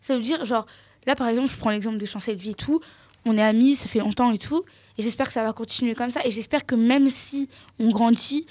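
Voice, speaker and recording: Blunt teen girl, higher voice, dry booth sound. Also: a little fast, no echo. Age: teens. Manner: blunt, outspoken, trailing off quietly. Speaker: teen guest. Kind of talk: casual discussion.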